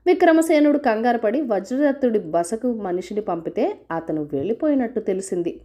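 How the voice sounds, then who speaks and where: Storyteller, female. Highish voice, very animated melody, scripted folk-tale narration. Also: normal speed.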